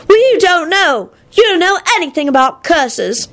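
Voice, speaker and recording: A woman's voice: very high voice, sing-song, moderate pace, fairly bright tone, voice pushed up, exaggerated voice. A woman voicing a bossy, easily exasperated boy in a storybook, scolding emphatically.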